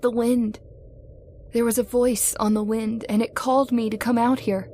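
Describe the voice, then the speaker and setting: A woman's voice: on the high side, little pitch movement, moderate pace, dark tone, a little hiss or hum, natural voice. A woman playing a troubled, entranced wife in an old-time radio horror drama, earnest and dramatic. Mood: haunted. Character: troubled, entranced young wife drawn to the graveyard. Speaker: voice actor.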